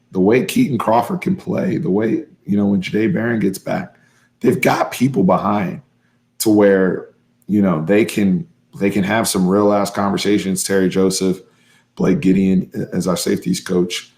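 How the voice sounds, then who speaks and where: A hoarse man hosting a live fan stream, recapping a football game in an excitable, emphatic way and repeating his points. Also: on the low side, moderate pace. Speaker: host of a fan sports channel.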